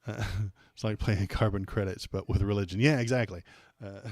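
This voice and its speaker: An American man hosting a casual political livestream, rambling and halting with repeated words, irreverent and sarcastic as he chats with viewers. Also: on the low side, a little mellow, no echo, clean studio sound. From United States. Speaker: livestream host. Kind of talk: casual political commentary, chatting with live viewers.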